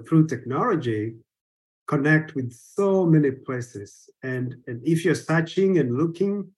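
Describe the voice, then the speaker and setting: Guy on a church webinar, stumbles n restarts a lot, earnest, mid pitch. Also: a little mellow. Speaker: church global partnerships staff. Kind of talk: webinar discussion.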